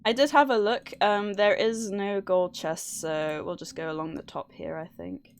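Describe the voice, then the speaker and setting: A woman's voice: mid-range voice, fairly even intonation, normal speed, soft background music. A woman hosting a livestream, chatting casually in a nervous, giggly way. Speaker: livestream host. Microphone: streaming microphone at a desk.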